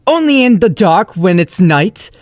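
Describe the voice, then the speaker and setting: A woman's voice: medium pitch, very animated, measured pace, a little mellow. Woman as a swooping, self-important pony chancellor. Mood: grand. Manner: theatrical, pompous, full of muddled similes. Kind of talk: cartoon audio drama acting.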